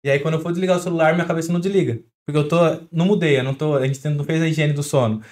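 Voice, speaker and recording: Fast-talking Brazilian man explaining. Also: a little high, fairly even intonation, a little mellow. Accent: Brazilian Portuguese. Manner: explains with everyday examples, quick and chatty. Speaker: doctor guest. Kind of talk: podcast interview.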